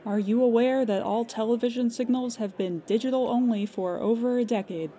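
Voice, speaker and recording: A woman's voice: a little high, fairly quick, dark tone, natural voice. A woman playing a weary, sarcastic emergency hotline operator in an audio drama, with a dry, clipped official manner and plain exasperation.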